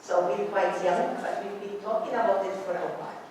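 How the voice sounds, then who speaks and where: A woman's voice: mid-range voice, steady tone, fairly quick. A middle-aged woman, a psychotherapist and mentor, giving an earnest, reflective conference talk. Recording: echoey hall, mic far off, background noise.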